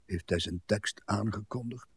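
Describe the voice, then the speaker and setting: An actor playing a gruff, morose old husband in a radio drama, terse and grumbling. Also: male voice, on the low side, normal speed, a bit bright, no room sound, clean studio sound. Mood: dry, muttering. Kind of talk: broadcast radio drama acting.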